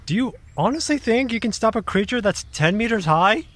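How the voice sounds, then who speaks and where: A husky, high male creature voice acted by a man, swooping theatrically as it explains. It is ancient, knowing and drily sceptical, a storyteller from an audio drama. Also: lively intonation, a little fast, a bit bright.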